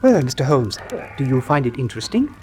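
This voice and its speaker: An actor playing an earnest country doctor who tells a grim tale gravely and articulately in a radio drama. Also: male voice, mid-range voice, big pitch swings, fairly quick, a little mellow, slight background noise, close to an everyday voice. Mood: earnest, factual.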